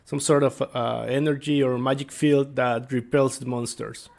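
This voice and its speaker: A man giving an informal explainer as a game master, speaking haltingly and searching for his words. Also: mid-range voice, little pitch movement, gravelly, darkish tone. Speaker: tabletop game master and video creator. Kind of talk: informal explainer talk to viewers.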